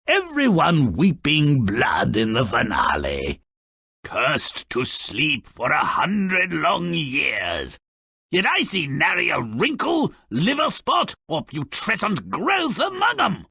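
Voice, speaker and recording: A malicious little dwarf-like grouch from a fairy tale video game. His voice is raspy and fairly high for a man, with a sharp, forceful edge. He mocks everyone in slow, theatrical, sneering storytelling, full of impatient scorn. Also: wildly swooping pitch, no room sound, clean studio sound, heavily acted. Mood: gleeful disdain. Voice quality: raspy, gravelly. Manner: theatrical, sneering, mocking, ornate vocabulary. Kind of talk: video game character narrating dark fairy tales.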